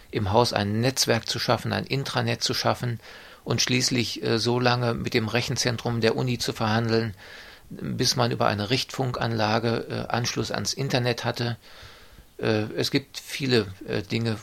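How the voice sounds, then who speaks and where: Measured gravelly-voiced man. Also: mid-range voice, little pitch movement, fairly bright tone. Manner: measured, formal, frequent hesitations. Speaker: interviewee describing the student residence. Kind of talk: calm interview answers.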